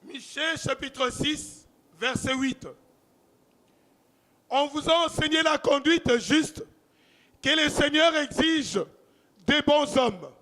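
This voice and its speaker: A young man reading scripture aloud in a church, careful and deliberate. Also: very high-pitched, pushed and bright. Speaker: scripture reader. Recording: reverberant church hall.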